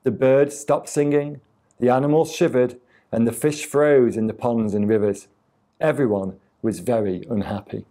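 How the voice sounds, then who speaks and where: A man reading a children's tale aloud as a storyteller, with deliberate, expressive delivery. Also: medium pitch. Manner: deliberate, expressive reading with acted sound effects.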